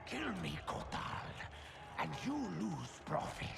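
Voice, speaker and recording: A man's voice: a little high, big pitch swings, takes it slowly, vocal fry, full character voice. A man playing a sly, greedy bandit who bargains in a video game. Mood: pleading, sly. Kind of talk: dramatic video game cutscene acting. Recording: music under the voice.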